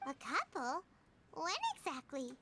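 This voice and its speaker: Tiny chirpy food-loving game mascot, extremely high childlike voice, swooping lively delivery. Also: female voice, a little slow, very noisy. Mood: curious. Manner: chirpy, squeaky, speaks in third person. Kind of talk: anime-style game voice acting. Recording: loud game music behind.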